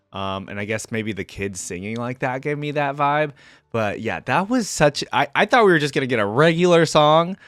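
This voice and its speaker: A man's voice: medium pitch, fairly bright tone, no echo, studio quality. A man hosting a reaction video, giving casual, informal and exclamatory commentary.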